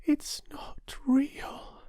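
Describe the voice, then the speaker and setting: A man voicing a sweet, imaginative little girl in a storybook reading, with a small, breathy, plaintive voice. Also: very slow, dark tone, no echo, whispered, studio quality. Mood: whispering, crushed. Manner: childlike, plaintive.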